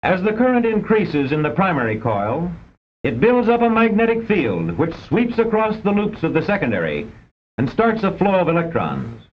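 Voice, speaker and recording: A man with an American accent delivers formal scripted narration for a vintage instructional film, measured and didactic with precise enunciation. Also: high-pitched, wildly swooping pitch. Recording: dull, band-limited old film soundtrack.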